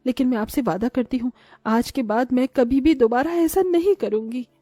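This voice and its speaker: Woman playing a mellow-voiced greedy cartoon mother. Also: high voice, moderate pace, dry recording. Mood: remorseful. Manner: self-justifying, persuasive. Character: greedy, crafty young mother selling street food.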